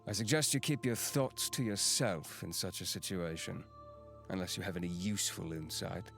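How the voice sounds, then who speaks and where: A man acts out a weary fantasy general with a low voice and a stern, commanding air. The delivery is measured and curt, as in a fantasy audio drama, with music underneath. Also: vocal fry, fairly bright tone. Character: exhausted, stern non-binary fantasy general giving orders.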